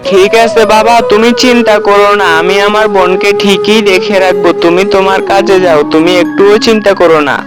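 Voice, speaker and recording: A man's voice: sits high, fairly even intonation, brisk, few pauses, over loud music, lightly acted. A man playing a confident, protective older brother, a clever boy in a children's fairy-tale cartoon, speaking reassuringly.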